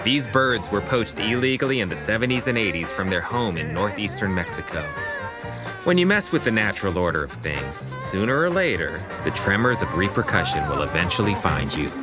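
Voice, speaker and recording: A wry, proud city narrator in a podcast drama. The delivery is theatrical and animated, moving between scolding and measured storytelling, with music clearly behind it. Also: male voice, on the low side. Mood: wry, stately. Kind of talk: scripted audio drama narration.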